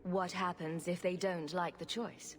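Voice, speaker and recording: A woman's voice: on the low side, steady tone, a little slow, natural voice. A woman playing a witty, flippant heroine in a video game, sarcastic and breezily confident. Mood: wry. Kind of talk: video game cutscene acting. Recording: long reverb, faint background noise.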